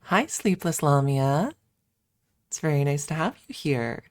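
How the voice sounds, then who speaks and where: A woman's voice: deep voice, animated, measured pace, darkish tone, no echo. A woman streamer chatting casually on an ASMR stream, rambling and candid as she explains things, close to the microphone. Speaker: livestream host and ASMR streamer.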